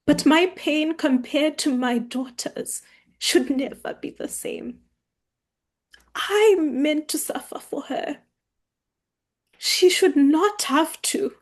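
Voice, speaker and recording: A woman acts a protective mother in a rural stage drama. Her voice sits a little high and moves at a very slow, deliberate pace. She is stern and emphatic, with worry underneath. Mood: pained, firm. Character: anxious, stern Kenyan village mother, protective of her daughter. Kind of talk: staged script read of a drama.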